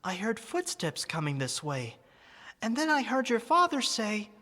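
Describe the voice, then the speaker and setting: A woman playing an affectionate, wistful teddy bear come to life in an ASMR roleplay, speaking gently and expressively to an old friend. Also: on the high side, very animated, measured pace, long reverb tail, heavily acted. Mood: tender, bittersweet.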